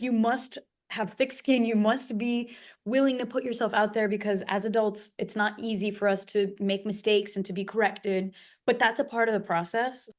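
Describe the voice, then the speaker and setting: A woman co-hosting a casual talk about learning languages, animated and thinking aloud with quick fillers. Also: mid-range voice. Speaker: polyglot co-host. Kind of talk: casual conversation.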